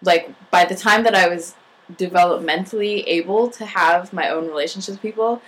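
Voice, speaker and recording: Lower-voiced woman, halting casual talk. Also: fairly bright tone, poor audio. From United States. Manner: halting, lots of fillers and restarts. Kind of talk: casual chat.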